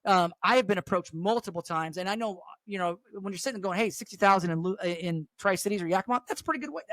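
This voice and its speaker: A middle-aged man, a radio host, chatting casually and telling stories with a higher voice and lots of restarts. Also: expressive, fast, a bit bright, dry recording. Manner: chatty, storytelling, frequent restarts. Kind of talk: casual chat.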